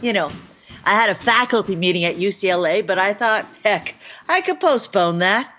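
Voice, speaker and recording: A woman acting in an audio play as a brisk, chirpy middle-aged academic mother, chatty and bossy. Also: medium pitch, animated, a bit bright, lightly acted. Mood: chirpy, fussing.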